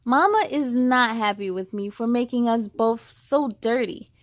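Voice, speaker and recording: A woman reading as an earnest young girl in a children's storybook, slowly and expressively. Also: a little high, dry recording, clean studio sound, lightly acted. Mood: earnest, tender. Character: young girl narrator learning to manage anger. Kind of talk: children's read-aloud in first person.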